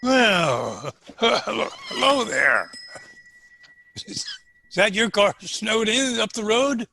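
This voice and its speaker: A man's voice: high voice, expressive, slow pace, vocal fry, sharp tone, a little hiss or hum, full character voice. An actor playing a hearty, folksy country man at a mountain store in a radio comedy-drama. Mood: friendly.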